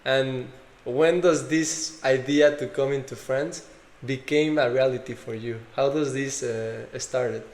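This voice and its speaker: A man's voice: medium pitch, steady tone, measured pace, few pauses, darkish tone. Male host, echoey room. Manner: friendly questions, short backchannels. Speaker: podcast host. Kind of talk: casual interview.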